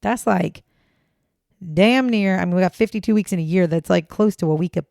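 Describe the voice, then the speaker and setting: Hoarse, gravelly woman, low and dark. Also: a little fast, no echo, clean studio sound. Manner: chatty, blunt, casual swearing. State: hoarse, raspy voice after choking on water. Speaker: podcast co-host. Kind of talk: casual true-crime podcast chat.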